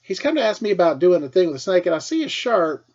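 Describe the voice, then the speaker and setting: A middle-aged American man, a teacher with a husky voice, tells casual personal stories for children in an animated way, acting things out as he goes. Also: high voice, fast, muffled recording. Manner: animated, acts out dialogue and sound effects. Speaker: school teacher telling stories to pupils.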